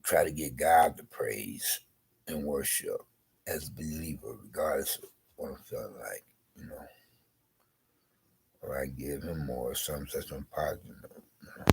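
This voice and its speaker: A man vlogging slowly and rambling, congested with a scratchy throat, repeating "you know". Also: a bass voice, gravelly, a little mellow, husky. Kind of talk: rambling personal vlog.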